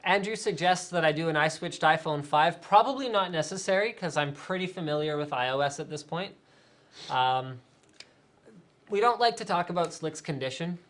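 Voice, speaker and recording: A talkative man hosting a live tech stream, reading out viewer questions and riffing on them. He speaks casually with plenty of fillers and restarts, energetic and opinionated. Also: on the high side, moderate pace. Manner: energetic, chatty, many fillers. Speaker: tech show host.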